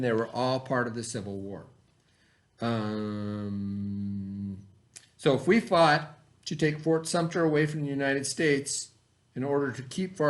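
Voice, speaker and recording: Man teaching with a read-aloud lesson. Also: mid-range voice. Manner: explanatory, checks understanding with simple questions. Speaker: teacher reading aloud to a class.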